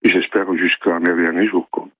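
A middle-aged man from southern France calling in to a radio show, rambling with many pauses. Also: sits low, flat delivery, dry recording. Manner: rambling, hesitant with many fillers. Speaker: caller. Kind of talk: phone-in chat. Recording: muffled phone-line audio.

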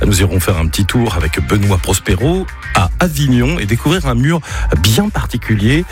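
A man's voice: fairly low pitch, very animated, normal speed, faint music behind. A man hosting a radio interview show, chatty and teasing with his guest. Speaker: radio host.

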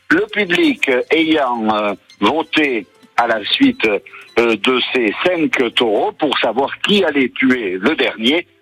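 Guy phoning in a live report from the bullring, kinda husky, lots of uhs, faint music behind. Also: mid-range voice, a bit bright, rough recording. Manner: hesitant, many fillers, enthusiastic. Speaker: field reporter. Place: bullring arena.

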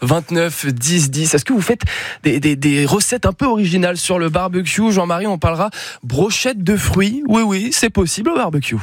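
A man's voice: high-pitched, moderate pace. A man hosting a radio talk show, upbeat and jokey as he leads the chat and gives out the call-in number. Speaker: radio host.

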